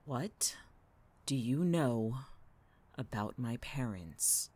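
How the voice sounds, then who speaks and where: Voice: medium pitch, expressive, drawn-out words, dark tone, breathy edge, no echo, exaggerated voice. An actor playing a tense, protective young woman in an audio drama, terse, blunt and guarded, with music underneath. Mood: shaken, menacing. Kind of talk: audio fiction podcast acting.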